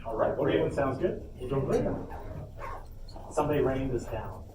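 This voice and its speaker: A man hosting an informal convention workshop, speaking casually with hesitant pauses as he explains things to the room. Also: mid-range voice, animated, a little mellow. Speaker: panel host and presenter. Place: indoor convention panel room. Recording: roomy, distant mic, noisy convention room.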